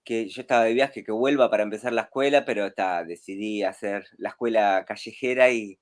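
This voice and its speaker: A man's voice: on the low side, brisk. An adult man from Rosario, a street clown talking casually as a guest over a video call, chuckling often. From Rosario, Argentina. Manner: relaxed, chuckles often, rambling.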